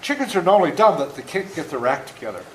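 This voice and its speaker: A man in his seventies reading a story aloud to children in a folksy, interactive, animated way, as an author before a young audience. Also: a little high, fast talker. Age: 70s. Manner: folksy, interactive, acts out moments. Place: indoor room before a school audience. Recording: roomy echo, faint background noise.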